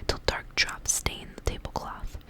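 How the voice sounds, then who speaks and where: A woman reading a story aloud in a hushed ASMR whisper from start to end. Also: measured pace. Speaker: ASMR reader.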